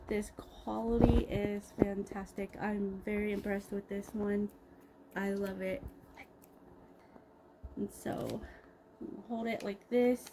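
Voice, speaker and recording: A woman giving a casual, improvised unboxing review, pausing mid-sentence in an appreciative, unhurried way. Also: medium pitch. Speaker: product reviewer. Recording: clearly noisy background ambience.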